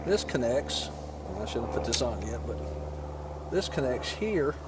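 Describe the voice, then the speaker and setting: A man's voice: medium pitch, big pitch swings, unhurried. A man giving a casual, plain-spoken, step-by-step tutorial while he works with his hands. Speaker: motorcycle vlogger demonstrating gear installation. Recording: clear ambient and vehicle noise.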